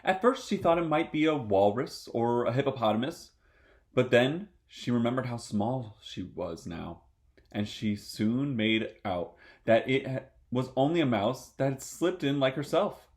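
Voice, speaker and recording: Animated male children's storyteller. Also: medium pitch, a little slow. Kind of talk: expressive children's story reading.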